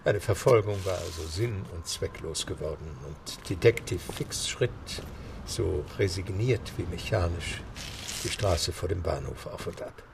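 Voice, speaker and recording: A man giving steady, scripted storytelling narration for a radio drama. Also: low voice, measured pace, vocal fry, noisy background.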